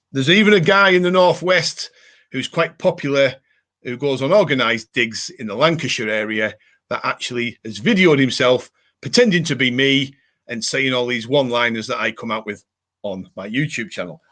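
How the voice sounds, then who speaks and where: A man's voice: medium pitch, fairly bright tone. A man with a Cumbrian accent casually hosting a live show, chatting and answering viewer comments in a rambling way. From Cumbria, England. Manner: rambling, chatty, repeats catchphrases. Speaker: livestream host.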